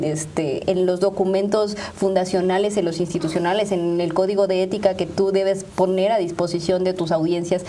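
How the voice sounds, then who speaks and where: A woman's voice: mid-range voice, warm tone. A woman with a Mexican accent explaining points didactically as a guest in a panel discussion, arguing through examples. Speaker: panel guest, media ethics expert.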